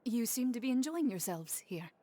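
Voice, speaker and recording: A woman's voice: fairly high pitch, very animated, normal speed, dark tone, no room sound. A woman voicing a composed, terse champion duelist in a video game. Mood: cool, reserved. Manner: reserved, terse, unruffled.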